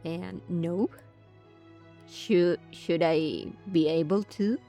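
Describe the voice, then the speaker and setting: Woman streamer, slow halting reactions. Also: on the low side, mellow, faint music behind. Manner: thinks aloud, halting, exclamatory. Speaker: streamer playing a game. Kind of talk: casual game reaction commentary.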